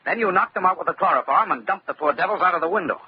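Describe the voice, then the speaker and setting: A man playing a sharp, tough investigator in an old-time radio detective drama. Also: high-pitched, rapid-fire, lightly acted. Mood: accusing. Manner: hard-boiled, explanatory. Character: sharp, tough male investigator, brisk and self-assured. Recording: dull lo-fi old radio, faint noise.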